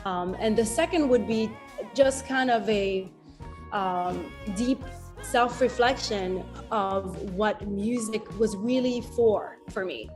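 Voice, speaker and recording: A woman's voice: medium pitch, takes it slowly, a little mellow, faint music behind. A woman, a musician answering interview questions in a reflective way, laughing easily and often restarting her sentences. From France. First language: French. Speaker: musician guest.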